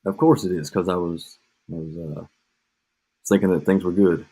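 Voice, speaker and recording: A man's voice: low-pitched, steady tone, moderate pace, dark tone, breathy edge. A man co-hosting a podcast, rambling and joking in casual chat, drifting off mid-thought, with an echo on his home mic. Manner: joking, meandering, trails off. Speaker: podcast co-host.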